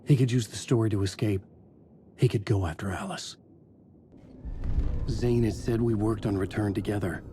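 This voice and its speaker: An actor playing a haunted middle-aged novelist, narrating a horror game in grave, terse, brooding sentences. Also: male voice, fairly low pitch, moderate pace, pauses often, mellow, a little hiss or hum, close to an everyday voice. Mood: grim, urgent. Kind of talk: scripted first-person narration in a horror video game.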